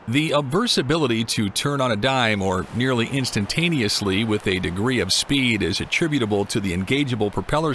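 A man reading a scripted documentary voice-over in a measured, even way, with the occasional odd word slip. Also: medium pitch, a little hiss or hum. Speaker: documentary narrator. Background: music.

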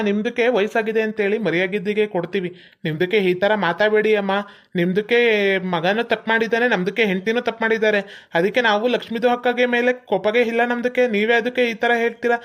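A man's voice: high voice, fairly even intonation, quick pace, a bit bright. A man playing a cheeky young man who speaks broken Kannada with odd phrasing, in a comedy skit. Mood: arguing.

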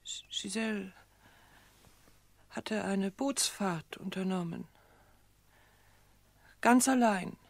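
An actress in a radio play voicing a distraught, guilt-ridden daughter with a husky, breathy voice. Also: female voice, medium pitch, no echo. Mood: strained, evasive. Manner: fraught, halting, defensive. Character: distraught, guilt-ridden daughter worn down by her dead mother. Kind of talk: dramatic radio play acting.

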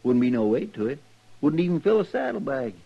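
An actor playing an eager, talkative frontier deputy with a folksy twang and rural grammar, in a 1950s radio drama. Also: male voice, on the high side, very animated, a little fast, creaky, breathy edge, heavily acted. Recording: dull vintage radio transcription.

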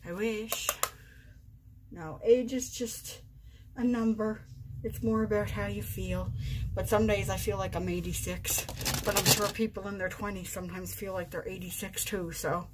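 A woman's voice: mid-range voice, fairly even intonation, normal speed, very noisy. A woman in her forties doing her makeup on camera in her bedroom, chatting casually, poking fun at herself, and talking through each step. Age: 40s. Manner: chatty, self-mocking, narrates each step. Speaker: vlogger. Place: bedroom at home.

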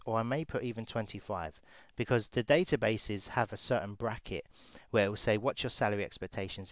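A young man, a recruiter, casually talking through a software demo and thinking aloud as he clicks. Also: medium pitch, no room sound. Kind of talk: screen-share software walkthrough.

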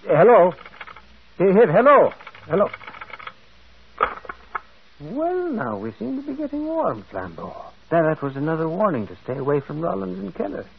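A man's voice: high voice, very animated, moderate pace, creaky, pauses often, slight background noise, exaggerated voice. An actor playing a mild, inquisitive priest sleuth in an old radio drama, hesitant and repeating his words. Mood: intent, resolute. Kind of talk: 1940s radio acting. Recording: muffled, phone-like old radio recording.

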